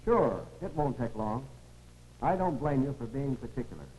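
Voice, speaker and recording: Here is an actor as an obliging male mechanic in a 1940s training film. He talks in an easygoing, neighbourly way at a medium pitch. Faint noise and hum sit on the old soundtrack. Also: lively intonation, moderate pace, warm tone, natural voice, rough recording. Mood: agreeable. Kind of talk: 1940s educational film acting.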